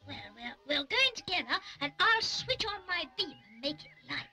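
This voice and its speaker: A woman's voice: high-pitched, big pitch swings, normal speed, sharp tone, faint music behind, heavily acted. A woman voicing an eager, brave-talking little toy boy in a children's puppet adventure, earnest and exclaiming in a childlike way. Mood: eager, confident.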